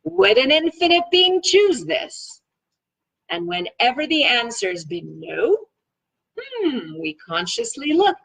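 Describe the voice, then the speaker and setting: A woman with a slightly husky voice reading a self-help book aloud in an enthusiastic, expressive way, with casual, chatty asides. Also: fairly high pitch, low-quality recording. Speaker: reader of a self-help book read-along.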